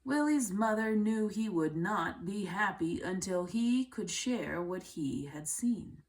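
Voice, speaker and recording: A woman reading a children's book aloud in a measured way, with deliberate phrasing and pauses. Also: mid-range voice, animated. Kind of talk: read-aloud storybook narration.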